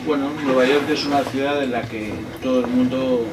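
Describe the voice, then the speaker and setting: A man's voice: mid-range voice, fairly even intonation, normal speed, background noise. A male interviewer asking long, rambling questions. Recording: distant mic, loud music behind.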